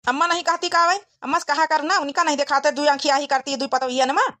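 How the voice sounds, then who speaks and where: A woman's voice: high-pitched, fairly even intonation, fast talker, pushed and bright. A woman voicing a practical grown village son and husband in a cartoon comedy, speaking firmly and matter-of-factly with an Awadhi accent. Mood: firm, grumbling.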